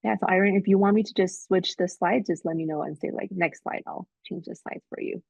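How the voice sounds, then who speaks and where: A woman's voice: on the low side, a little fast, gravelly, darkish tone, no echo. A woman from admissions hosting a webinar, giving practical advice with many fillers over a video call mic. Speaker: admissions staff host. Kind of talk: webinar presentation. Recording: muffled, dull webinar audio.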